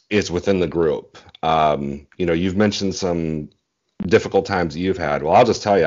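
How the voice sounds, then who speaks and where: A man in his forties with a very deep bass voice, speaking modestly and a little haltingly in a casual interview over a video call. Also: a little mellow. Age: 40s. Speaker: interview guest, bass singer. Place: small indoor room at home. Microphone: earbud headset on video call. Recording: dull, muffled video-call audio.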